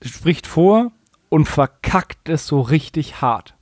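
A man's voice: on the high side, lively intonation, slow, no echo, studio quality. A man in a casual, humorous podcast chat, co-hosting with colloquial, mock-serious exaggeration. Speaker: podcast co-host. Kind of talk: casual humorous chat recapping an audio drama.

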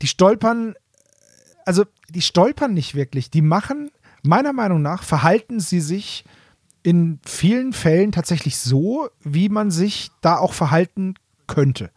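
A man's voice: high-pitched, lively intonation, a little slow, darkish tone, no echo, clean studio sound. A man co-hosting a podcast in informal, casual chat, rephrasing his thoughts as he goes. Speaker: podcast co-host. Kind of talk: casual chat about nostalgic audio dramas.

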